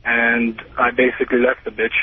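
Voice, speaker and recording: A man's voice: mid-range voice, completely flat delivery. Hesitant young man on a tinny phone. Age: early 20s. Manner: hesitant, halting. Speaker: radio show caller. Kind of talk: casual phone confession. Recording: tinny, noisy phone line.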